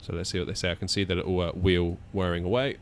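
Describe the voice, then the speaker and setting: Low male voice, casual gameplay commentary, chatty thinking aloud, faint noise behind. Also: level tone, fast. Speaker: flight simulator video host.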